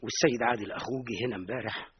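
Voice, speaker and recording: An actor playing a polite, apologetic company official breaking bad news, in an old radio drama. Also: male voice, mid-range voice, rapid-fire, breathy edge. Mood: formal. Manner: formal, apologetic. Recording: muffled radio recording with faint hiss.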